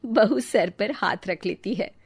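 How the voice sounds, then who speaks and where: A woman's voice: medium pitch, measured pace, slightly rough. A woman narrator reading a scripted story for a Hindi cartoon.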